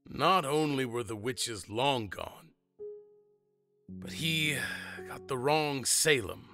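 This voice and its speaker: Man acting a slow, earnest monster dad. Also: medium pitch, expressive, pushed and bright, soft background music, heavily acted. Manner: measured, dramatic storytelling with pauses. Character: earnest monster father telling grim family history. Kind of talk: audio drama acting.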